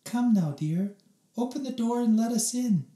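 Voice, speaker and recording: Man voicing a high-pitched, coaxing storybook mom. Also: lively intonation, mellow, reverberant. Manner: sugary pleading, drawn-out words. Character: worried, coaxing mom trying to stay calm.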